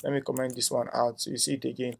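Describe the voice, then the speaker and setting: A man's voice: mid-range voice, level tone, quick pace, gravelly, noisy background. A young man teaching a coding tutorial, thinking aloud as he types, with frequent "um"s and a tag "right?". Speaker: coding instructor. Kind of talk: screen-share coding tutorial.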